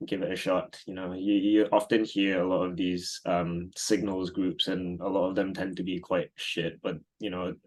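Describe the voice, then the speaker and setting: Deep-voiced Singaporean-born man in his thirties. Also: moderate pace, hardly any pauses, rough recording. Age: 30s. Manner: relaxed, rambling, many fillers and restarts. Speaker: guest. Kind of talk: casual interview answers.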